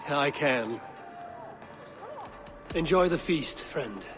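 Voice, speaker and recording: An actor playing a polite, inquisitive traveller in a video game, courteous and measured. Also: male voice, a little high, expressive. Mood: polite, curious. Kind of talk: video game character dialogue. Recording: loud music behind.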